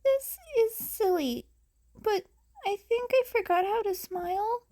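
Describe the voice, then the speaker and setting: Timid small girl played by a woman, very high voice, earnest and hesitant, weighed down by guilt, audio storybook. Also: slow, mellow. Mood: sheepish.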